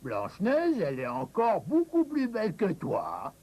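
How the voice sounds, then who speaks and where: Cheeky, animated magic mirror. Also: male voice, high voice, measured pace, exaggerated voice. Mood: taunting. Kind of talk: French cartoon dub.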